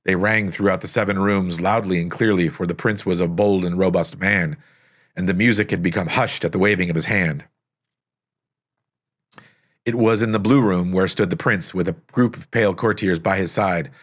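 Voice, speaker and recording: A man reading a gothic story aloud as scripted narration, with solemn, dramatic, deliberate phrasing. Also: low-pitched, fairly bright tone. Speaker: audiobook narrator.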